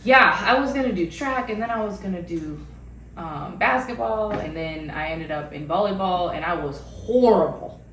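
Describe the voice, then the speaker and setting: A young woman from Arizona, born in Iran, chatting as a podcast guest, animated and slangy, laughing often. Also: on the low side, moderate pace, far from the mic. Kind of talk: casual chat. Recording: roomy, from the mic, faint hiss.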